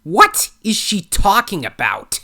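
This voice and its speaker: An actor voicing a plucky young male raccoon adventurer in an audio drama, with an animated, dramatic delivery. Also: medium pitch, slow, noisy background, exaggerated voice. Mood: puzzled. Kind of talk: audio drama narration and acting.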